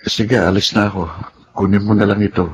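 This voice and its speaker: An actor playing an earnest, easily slighted young suitor in a Tagalog radio drama. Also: male voice, fairly low pitch, fairly even intonation, fairly quick, muffled recording, a little hiss or hum, close to an everyday voice. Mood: earnest, courting.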